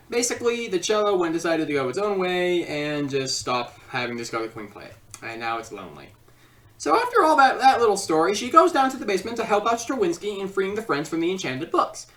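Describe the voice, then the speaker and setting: A woman giving a casual, rambling, excitable comedic film review. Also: medium pitch, normal speed, recorded from a distance.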